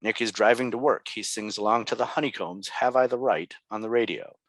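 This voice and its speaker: Low-voiced man reading screenplay directions. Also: a little fast, a bit bright, no room sound. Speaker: reader of stage directions. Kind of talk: reading screenplay directions aloud at a table read.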